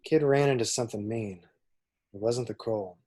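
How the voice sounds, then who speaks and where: A man's voice: mid-range voice, moderate pace, mellow, dry recording, natural voice. A man playing a cocky, wry smuggler hero in an amateur table read, with offhand, clipped slang. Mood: wry, guarded.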